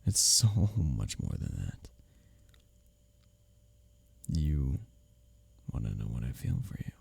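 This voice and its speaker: A man acting as a smitten, teasing workplace rival in an intimate romantic roleplay, with a breathy, husky, halting delivery that often drops toward a whisper. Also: very deep voice, wildly swooping pitch, darkish tone, a little hiss or hum, heavily acted. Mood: tender, confessing.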